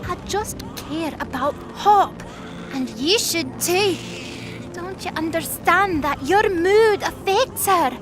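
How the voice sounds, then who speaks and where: A woman acts out a young winged goddess in an audio drama, very high and lively in pitch. She is hesitant and pleading, yet protective and defiant, speaking slowly over clear background music. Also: exaggerated voice. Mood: hesitant defiance.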